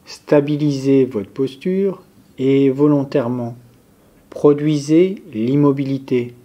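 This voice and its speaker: A man guiding a yoga nidra relaxation session, giving calm, measured, step-by-step instructions. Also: medium pitch, dull audio. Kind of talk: guided relaxation meditation, instructions spoken slowly to a group.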